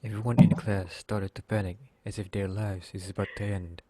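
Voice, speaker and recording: A man narrates a scripted story. His voice is fairly low and stays very even in pitch. He reads it out plainly as a drama narrator. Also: fairly quick.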